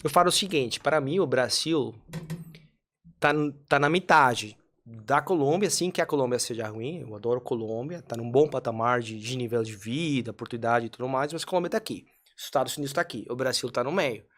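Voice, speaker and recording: A man from Colombia, a native Spanish speaker, talking as a podcast guest in Portuguese with a Colombian accent, earnest and measured, sometimes searching for words. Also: mid-range voice, a bit bright. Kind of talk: casual interview storytelling.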